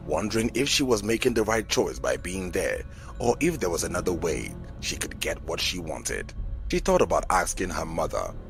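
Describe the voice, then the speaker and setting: Deep-voiced male storyteller with animated delivery. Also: gravelly. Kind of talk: scripted narration of an audio folk tale. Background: background music.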